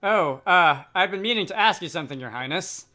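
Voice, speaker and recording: Man acting jovial male scholar adventurer, game character, breezy, colloquial, bright higher voice. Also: lively intonation, brisk, soft background music. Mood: curious, teasing. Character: cheery, food-loving male scholar adventurer.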